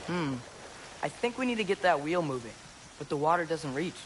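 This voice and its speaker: A man's voice: on the high side, animated, a little fast, lightly acted. An actor playing an earnest, curious teenage boy adventurer in a video game, thinking aloud in a youthful, eager way. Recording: loud water and boat noise behind.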